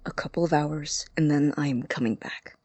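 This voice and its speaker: A woman playing a low-voiced, awkward young male student. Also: darkish tone, husky, no room sound. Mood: reluctant, dry. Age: young adult. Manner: hesitant, halting, apologetic. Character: awkward, anxious young male college student, studious and guarded. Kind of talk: naturalistic audio drama acting.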